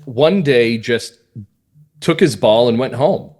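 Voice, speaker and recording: A man's voice: medium pitch, animated, slow, a little mellow. A man speaking as an interview guest, explaining things earnestly and emphatically in a conversational way. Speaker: guest playwright.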